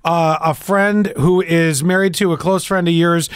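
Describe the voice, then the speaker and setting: A middle-aged man co-hosting a podcast over a video call, teasing and laughing often, talking into a boom-arm studio mic. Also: fairly even intonation, unhurried, smooth, no room sound. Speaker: podcast co-host. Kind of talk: casual chat. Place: home room by a window.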